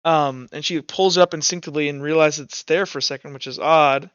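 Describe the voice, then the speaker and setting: Male game master, fairly high clean voice, improvising story narration in stop-start phrases. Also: steady tone, a bit bright, smooth, dry recording, muffled recording. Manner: halting, pausing mid-phrase.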